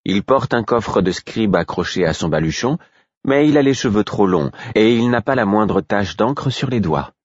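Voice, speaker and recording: A man raising his husky voice to play a teasing, sharp-tongued woman minstrel in a read-aloud story. She jabs and mocks with quick wit, her lines swinging in pitch. The sound is a little dull and lo-fi. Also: lively intonation, dry recording. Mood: mocking. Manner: mocking, quick-witted.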